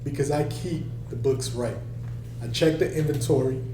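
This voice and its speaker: In an echoey room, away from the mic, a man reads the part of a stern middle-aged father who runs a restaurant. His voice is mellow, and he speaks as a caring but scolding parent at a script table read. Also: mid-range voice, moderate pace, lightly acted. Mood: firm. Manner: scolding, then fatherly and reassuring. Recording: echoey room, distant mic, faint hum.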